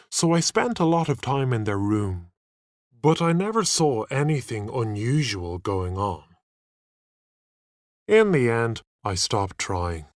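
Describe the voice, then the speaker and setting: Slow, animated male storyteller. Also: mid-range voice, no room sound, clean studio sound. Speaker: audiobook narrator. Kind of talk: expressive scripted story narration for learners.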